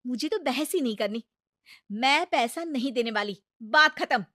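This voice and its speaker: A woman's voice: sits high, animated, normal speed, a bit bright, no echo, clean studio sound. A woman voicing an exasperated, hardworking wife in a cartoon story, speaking in an emphatic, scolding way. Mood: angry.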